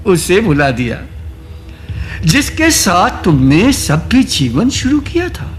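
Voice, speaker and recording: Man as a slow, high-voiced, reproachful spirit. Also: wildly swooping pitch, soft background music, full character voice. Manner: deliberate, echoing his own words, persuasive. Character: forsaken Ideal personified, solemn reproachful male spirit. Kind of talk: theatrical Hindi radio drama acting.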